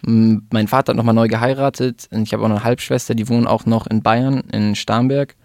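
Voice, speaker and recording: A man in his twenties from Germany, a rapper guest talking casually about his life, relaxed and rambling with fillers. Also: mid-range voice, a little fast, dry recording, clean studio sound. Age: 20s. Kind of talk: casual interview chat.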